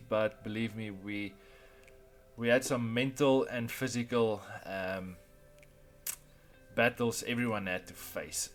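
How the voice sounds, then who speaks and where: A man from South Africa with an Afrikaans accent, narrating a casual hiking vlog outdoors, plain and encouraging, with frequent fillers. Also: mid-range voice. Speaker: vlogger. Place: outdoors on a rainforest trail.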